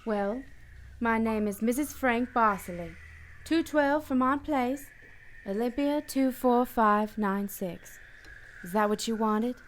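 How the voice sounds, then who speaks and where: An actress as an anxious, guarded mystery woman in a radio noir. Her voice is high and her replies are clipped and earnest, with faint noise behind. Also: slow pace.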